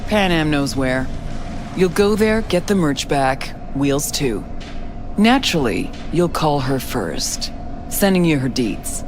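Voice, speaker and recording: A woman's voice: low-pitched, sing-song, takes it slowly, heavily acted. A woman playing a shrewd, hard-nosed older underworld fixer in a video game, cool, clipped and commanding. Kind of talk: video game cutscene acting. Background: music.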